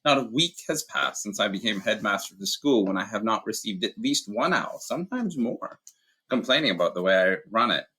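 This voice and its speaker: A man giving voice to a wise old headmaster over sixty who talks in a measured, dryly amused way. The voice stays low and husky with a bright edge and lively rises and falls. It carries the calm of an audiobook elder. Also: exaggerated voice. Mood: wry, calm. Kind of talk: audiobook character voice.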